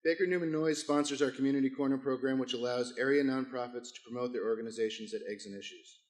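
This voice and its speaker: A hoarse man, hosting a breakfast business forum as chamber president and reading the sponsor list in a formal, scripted way, now and then stumbling over a word. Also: medium pitch, fairly even intonation, quick pace. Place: large event hall. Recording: echoey banquet hall.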